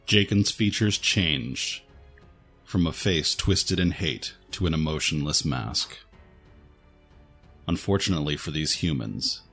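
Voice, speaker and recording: A man reads dramatic fiction as the narrator of an audio story. His voice is low, and faint music plays behind it. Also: fairly bright tone, husky. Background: faint background music.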